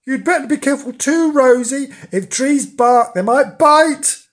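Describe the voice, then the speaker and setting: A woman's voice: medium pitch, sing-song, unhurried, voice pushed up. A woman voicing a timid, gullible young male kitten in a storybook, plaintive and anxious. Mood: fearful warning.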